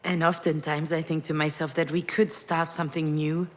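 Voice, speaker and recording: A woman's voice: low-pitched, level tone, moderate pace, lightly acted. An actress playing a wistful, wounded woman parting from her ex, speaking in a reflective, intimate way in a naturalistic game performance. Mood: tender, regretful. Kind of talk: naturalistic visual novel voice acting.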